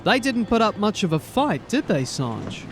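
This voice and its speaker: A man's voice: sits high, very animated, moderate pace, faint music behind. An uneasy watchman. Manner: chatty, uneasy, colloquial. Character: chatty uneasy young male watchman. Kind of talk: audio drama acting.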